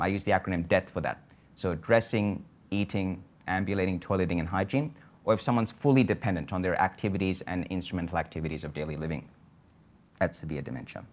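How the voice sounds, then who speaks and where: A man giving a conference lecture on a medical topic, explaining in a measured way with frequent filler sounds. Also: on the low side.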